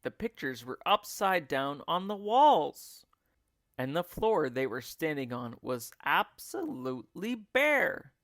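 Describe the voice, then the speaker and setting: A man reading a children's story aloud as a teacher, in a measured, expressive narrating style. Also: a little high, no room sound, studio quality.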